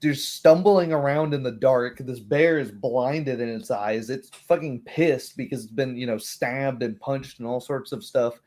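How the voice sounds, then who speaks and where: A man's voice: medium pitch, a little slow, hardly any pauses, darkish tone. A man talking casually as a tabletop game master, acting out bits of imagined players' lines as he goes. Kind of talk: casual chat.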